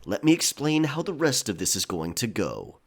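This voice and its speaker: A man playing a stern, coolly menacing pirate quartermaster in an audio drama, with a measured, matter-of-fact drawl. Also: medium pitch, huge pitch swings. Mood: calm menace.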